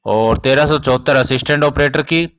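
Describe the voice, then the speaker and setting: A man's voice: mid-range voice, a little fast, fairly bright tone, clean tone. A man explaining exam updates informally as a coaching teacher, addressing students directly and repeating points for emphasis.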